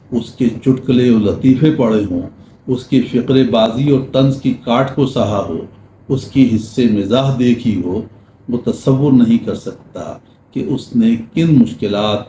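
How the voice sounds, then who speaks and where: An older man giving a literary talk in measured, formal diction with deliberate pauses, reading prose and reciting verse. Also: mid-range voice, warm tone. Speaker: lecturer. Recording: roomy echo with faint background noise.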